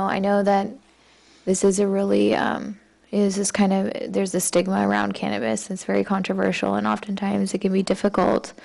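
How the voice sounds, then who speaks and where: A woman's voice: medium pitch, creaky, a little mellow. A woman in her thirties speaking informally and encouragingly as a council member. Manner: encouraging, reassuring. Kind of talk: informal supportive remarks from the dais. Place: large council chamber, indoors. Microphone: gooseneck desk microphone.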